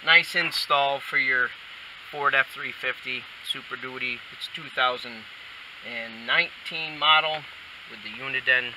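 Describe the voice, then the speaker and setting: A man talks through a hands-on job in a casual, folksy way, muttering short remarks as he goes. His mid-pitched voice sits away from the mic, under loud hiss and vehicle noise. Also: measured pace, recorded from a distance. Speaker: installer demonstrating a how-to. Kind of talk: casual hands-on tutorial talk.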